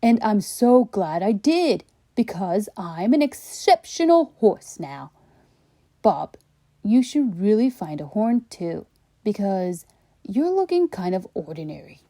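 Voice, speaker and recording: A woman's voice: medium pitch, sing-song, slow, mellow, dry recording, studio quality, exaggerated voice. A woman voicing a vain, dramatic male horse in a children's storybook, boastful and theatrical. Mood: smug.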